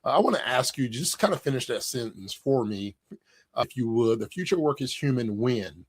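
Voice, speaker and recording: A man hosting an interview, upbeat and quick, asking loosely phrased questions. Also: mid-range voice, animated, husky. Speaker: host.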